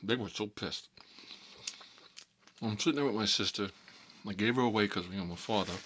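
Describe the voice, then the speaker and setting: A man's voice: on the low side, normal speed, a bit bright, slight background noise, close to an everyday voice. A man playing a talkative, hot-headed mob boss in an improvised ASMR comedy monologue, rambling and repeating himself in streetwise slang. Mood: aggrieved, boastful.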